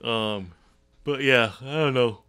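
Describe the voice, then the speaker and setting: Slow-talking man with a bright, forceful edge. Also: mid-range voice, smooth. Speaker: podcast host and author. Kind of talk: casual discussion.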